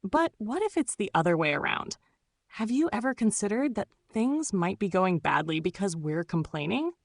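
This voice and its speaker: A woman delivering a scripted explainer in a measured, instructive way, often posing rhetorical questions as a mindfulness host. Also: medium pitch, dry recording, studio quality.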